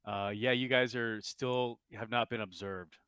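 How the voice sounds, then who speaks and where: A man's voice: medium pitch, fairly even intonation, a little slow, pushed and bright, clean tone, no room sound. A man running a tabletop roleplaying game as game master, explaining the scene informally. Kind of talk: casual tabletop game narration.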